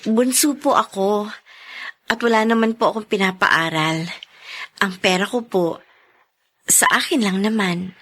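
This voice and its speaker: A woman's voice: mid-range voice, a little slow, long pauses, fairly bright tone. A woman playing a polite, patient young girlfriend in a Filipino radio drama, deferential and earnest. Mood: earnest, understanding. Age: young adult.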